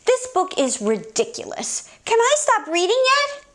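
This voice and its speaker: A woman's voice: very high-pitched, huge pitch swings, unhurried, fairly bright tone. A woman hosting children's story time, reading a picture book aloud with playful, exaggerated, theatrical reactions. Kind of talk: animated picture book read-aloud for kids.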